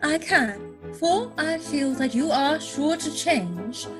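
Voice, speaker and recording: A woman plays a self-assured, romantic young society lady in a comic play. She gushes slowly in a high voice with sweeping melody. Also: lively intonation, over music. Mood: rapturous. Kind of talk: stage comedy dramatic reading. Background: musical score.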